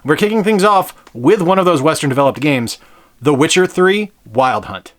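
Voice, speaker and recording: A man's voice: on the high side, lively intonation, moderate pace. A man hosting a game channel, talking about games in an upbeat, enthusiastic way. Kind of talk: casual game review talk.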